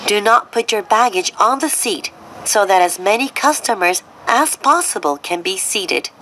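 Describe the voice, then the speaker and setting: A woman reading a scripted train announcement in formal, deliberate, clearly separated phrases over a monorail car's speakers. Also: mid-range voice, lively intonation, pushed and bright. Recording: train cabin noise behind announcement.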